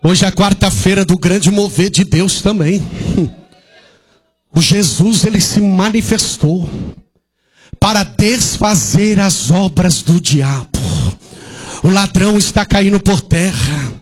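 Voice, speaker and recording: A man with a very high-pitched voice preaches at a church service, drawing out his words at an unhurried, deliberate pace. He delivers an impassioned sermon as the pastor, asking rhetorical questions and punctuating his points with cries of hallelujah.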